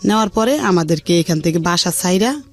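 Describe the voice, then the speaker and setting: A woman's voice: lowish voice, fairly quick. A middle-aged woman with a colloquial Dhaka Bengali accent tells her own life story in an earthy, unpolished way, acting out what people said. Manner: earthy, unpolished, animated retelling with reported dialogue. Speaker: radio show guest telling her life story. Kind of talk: candid personal storytelling in conversation. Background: background music.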